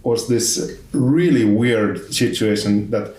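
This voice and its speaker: A man with a Finnish accent hosting a podcast in casual conversation, speaking haltingly with many restarts. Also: lowish voice, a little mellow. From Finland. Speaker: podcast host. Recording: roomy sound, distant from mic.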